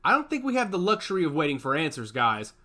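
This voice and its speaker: A man's voice: on the high side, wildly swooping pitch, quick pace, bright, forceful tone, exaggerated voice. A man voicing a brash, cocky augmented fighter who talks with swagger and defiance. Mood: brisk. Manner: confident, defiant.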